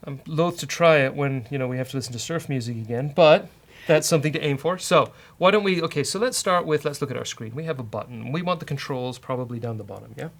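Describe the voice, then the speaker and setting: A man's voice: medium pitch, fast. A man with a British accent hosting a casual live coding walkthrough, thinking aloud in a jokey way. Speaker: host of a coding show. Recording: keyboard clatter and clicking noise. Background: typing and mouse clicks.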